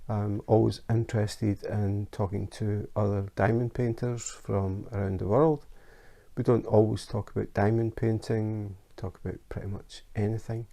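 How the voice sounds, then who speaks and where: A Scottish man hosting a casual how-to craft vlog, chatty and relaxed, with frequent ums and uhs. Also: lowish voice, moderate pace, gravelly. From Scotland. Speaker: YouTube craft channel host. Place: his home in Denmark.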